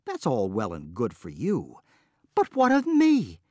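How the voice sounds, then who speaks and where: A man's voice: fairly low pitch, very animated, takes it slowly, dark tone, husky, no room sound, clean studio sound, full character voice. A man voicing an exasperated peasant wife who scolds her foolish husband in a storybook reading. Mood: scolding.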